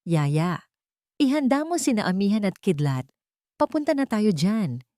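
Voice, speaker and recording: A woman's voice: sing-song, a little slow, warm tone, no room sound. Billionaire father read by a woman, authoritative, controlled and urgent, audio-story style, dry sound. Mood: urgent, commanding. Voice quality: low, authoritative voice. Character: commanding billionaire father, calm but dangerous, in crisis.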